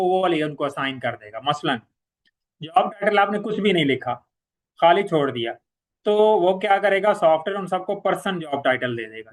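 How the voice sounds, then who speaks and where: A man with a Pakistani accent giving an informal step-by-step software tutorial as a trainer, repeating key points and mixing in English terms. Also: fairly high pitch. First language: Urdu.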